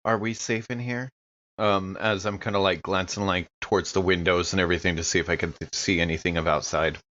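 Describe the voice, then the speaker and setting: Bright, low-voiced man playing casually. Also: steady tone, fairly quick, lo-fi sound. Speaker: tabletop game player. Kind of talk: casual chat and role-play.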